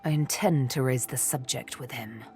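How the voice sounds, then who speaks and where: A woman's voice: rumbling low, normal speed, darkish tone. A woman voicing a sly elf rogue in a video game, playful and flirtatious with a theatrical, threatening edge. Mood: cold, menacing. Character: sly elf woman rogue, former slave, teasing and menacing. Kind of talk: video game character acting.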